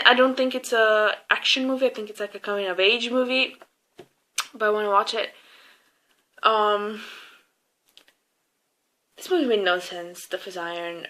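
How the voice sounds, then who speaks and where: Casting: young female movie reviewer, fairly high bright voice, informal chatty delivery, gushing and sweary. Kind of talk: casual, opinionated film review chat.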